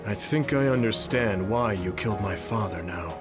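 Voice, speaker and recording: An actor playing a weary, remorseful elderly leader in a video game, speaking in a measured, grave way. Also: male voice, on the low side, lightly acted. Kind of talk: dramatic video game voice acting. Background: music.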